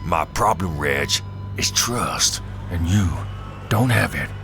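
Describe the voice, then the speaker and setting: A man playing curt, menacing gangland bosses in a confrontation, in a dark audio drama. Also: sits low, lively intonation, slow pace, over music, full character voice. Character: tense gangland bosses squaring off in a warehouse.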